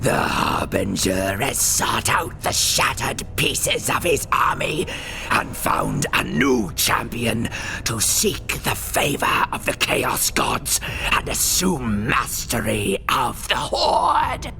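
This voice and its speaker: An actor playing a fanatical human champion of Chaos in a fantasy video game, ranting theatrically with a harsh, gravelly voice. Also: male voice, mid-range voice, very animated, sharp tone, soft background music, full character voice. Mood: defiant, raging. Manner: bombastic, ranting, drawn-out theatrical delivery. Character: fanatical male human champion of Chaos and plague-god worshipper.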